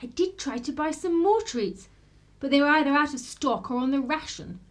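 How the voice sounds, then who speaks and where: Actress voicing genteel English woman, high voice, well-spoken, wry, gracious, radio drama. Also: animated, normal speed. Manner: well-spoken, wryly humorous.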